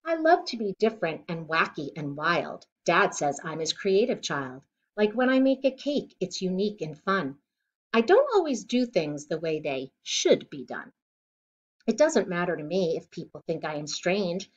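A middle-aged woman reading a children's picture book aloud in an expressive, deliberate way, recorded indoors at home. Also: on the low side, highs cut off. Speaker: children's storybook reader. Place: living room at home, indoors.

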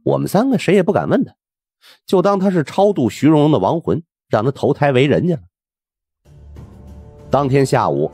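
A man narrating a supernatural mystery audiobook in the first person, in an expressive storytelling style. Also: on the low side, husky. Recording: music under the voice.

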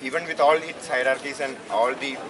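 A young man with an Indian accent speaks off the cuff to an outdoor gathering in a medium-pitched voice. He goes haltingly, circling back over phrases. The sound is picked up from a distance, with clear noise around it. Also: fairly even intonation. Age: 20s. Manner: halting, repeating phrases as he searches for words. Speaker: student speaker at a rally. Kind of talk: impromptu public address. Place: outdoors at night under a canopy. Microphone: handheld microphone, recorded from a distant camera. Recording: noisy outdoor PA, distant camera pickup.

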